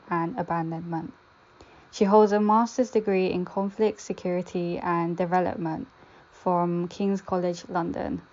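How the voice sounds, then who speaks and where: Mellow woman host reading an intro. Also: medium pitch, highs cut off. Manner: measured, reads from a script. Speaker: podcast host. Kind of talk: read introduction and question.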